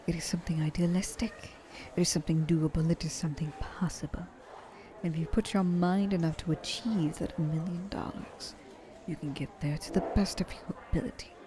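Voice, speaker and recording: A woman acting as an ancient, wealthy female vampire in an ASMR roleplay. Her voice is low and soft-edged, very mellow, as she coaxes the listener like a flirtatious, patronizing mentor and often slips toward a whisper. Noticeable noise sits behind her. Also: lightly acted. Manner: coaxing, intimate, dropping often into breathy whispers.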